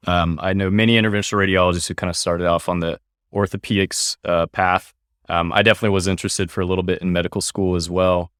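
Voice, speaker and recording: A man's voice: low-pitched, a bit bright, dry recording, clean studio sound. A young adult man guest-hosting a medical podcast, upbeat and friendly with some fillers.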